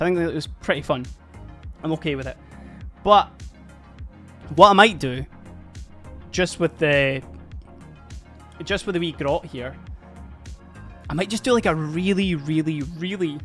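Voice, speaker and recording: A live-streaming miniature painter chatting casually and giggling a lot while painting, a little hoarse from laughing. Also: medium pitch, fairly bright tone. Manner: chatty, giggly, rambling, addresses chat.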